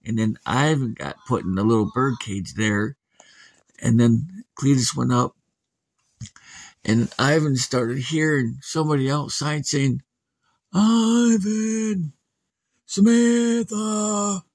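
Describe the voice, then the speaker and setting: A man's voice: mid-range voice, measured pace, vocal fry, no room sound. A man telling an improvised scary bedtime story as storyteller, in a folksy, plain-spoken manner.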